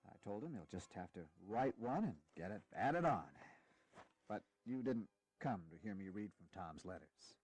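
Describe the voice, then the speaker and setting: A man's voice: on the low side, expressive, normal speed, darkish tone, no room sound, poor audio. An actor playing a genial American statesman of the founding era, chatting in a historical audio drama.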